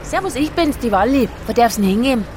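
An actress playing a chatty, sympathetic taxi driver with a southern German accent and a colloquial manner in a radio sketch. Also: female voice, a little high, lively intonation, fast, lightly acted. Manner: colloquial, reacting with interest. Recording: car and traffic noise behind. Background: vehicle ride ambience.

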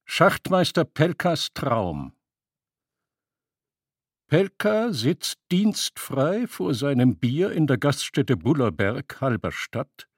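Male narrator for literary prose: lighter pitch, husky grain, dry acoustic, sober storytelling. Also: very animated, takes it slowly, no room sound.

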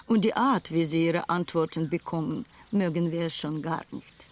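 An actress in a dubbed film thriller playing a proud, world-weary former madam turned respectable wife, disdainful and wistful in manner. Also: female voice, deep voice, normal speed. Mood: disdainful, defensive.

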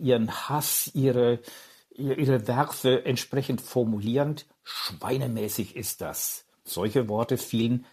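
Husky reflective male expert. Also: mid-range voice, a little mellow. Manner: reflective, searching for words. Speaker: expert interviewee. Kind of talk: spontaneous explanation.

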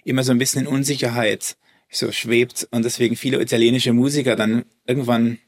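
A man's voice: mid-range voice, level tone, brisk. A man, a German native speaker and violinist, talking as a guest in a casual interview, hesitant with many restarts and fillers.

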